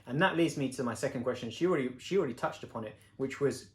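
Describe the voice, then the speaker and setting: A man's voice: medium pitch, rapid-fire. A man, hosting a casual interview with playful, joking banter. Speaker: host interviewing his partner. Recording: echoey room.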